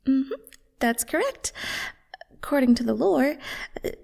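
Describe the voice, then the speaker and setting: A woman's voice: high-pitched, animated, a little slow, gravelly, a little mellow, no room sound, clean studio sound, full character voice. A woman playing an affectionate, playful and protective werewolf girlfriend in an intimate audio roleplay, tender and teasing, often murmuring or whispering. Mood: casual, curious.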